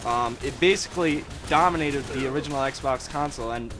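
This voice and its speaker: A man's voice: medium pitch, brisk, over music. A young man on a gaming panel, confidently laying out his argument point by point. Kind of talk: casual gaming discussion.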